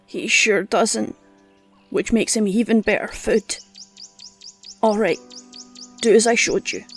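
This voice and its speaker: An actress playing a gruff, scolding old woman who teaches hunting with blunt scorn in an audio drama. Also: mid-range voice, takes it slowly. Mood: hushed, focused. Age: older. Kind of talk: audio roleplay drama acting. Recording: music under the voice.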